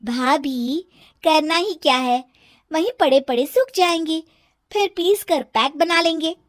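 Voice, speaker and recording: A woman voicing a cheeky, easygoing young unmarried daughter in a cartoon story, teasing her sister-in-law in a breezy, drawn-out way. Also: sits high, no echo, studio quality, voice pushed up.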